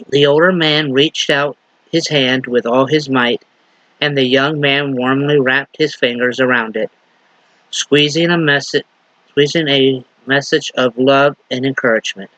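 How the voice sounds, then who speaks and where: Minister with a lingering slight cough. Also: male voice, medium pitch, fairly bright tone, no echo, low-quality recording. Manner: unhurried, halting with fillers and restarts. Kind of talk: sermon with story reading.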